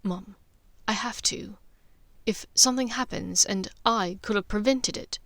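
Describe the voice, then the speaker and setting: A woman voicing an earnest, stubborn young adult wizard son in an audiobook, quiet, hesitant and reasoning. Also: mid-range voice, measured pace, no echo. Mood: determined.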